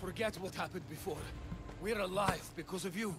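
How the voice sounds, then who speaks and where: An actor playing a forthright, embattled town leader and fighter in a video game, speaking emphatically. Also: male voice, sits high, moderate pace, music in the background, lightly acted. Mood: grateful. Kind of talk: video game cutscene acting.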